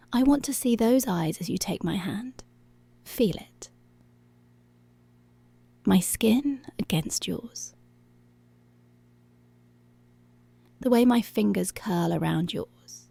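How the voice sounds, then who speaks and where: A woman playing a dominant, seductive policewoman in an intimate ASMR roleplay, breathy and deliberate, often dropping to a whisper. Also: mid-range voice, mellow, no echo, heavily acted. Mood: slow, commanding. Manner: slow, deliberate, commanding.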